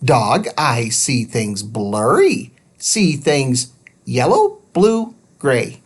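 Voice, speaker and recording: A man voicing a small squeaky helper robot in a storybook, talking in clipped, broken phrases. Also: sing-song, very slow, heavily acted. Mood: matter-of-fact. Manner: clipped, simplified phrases without articles.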